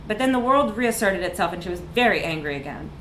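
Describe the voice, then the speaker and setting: A woman reading a comic short story aloud in a live literary reading, with expressive, dramatic emphasis. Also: medium pitch, fast. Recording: echoey room with background noise.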